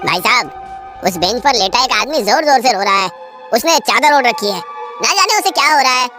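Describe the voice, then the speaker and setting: A woman's voice: on the high side, animated, fairly quick, music in the background, voice pushed up, lightly acted. A woman voicing a polite, anxious male passenger who speaks urgently.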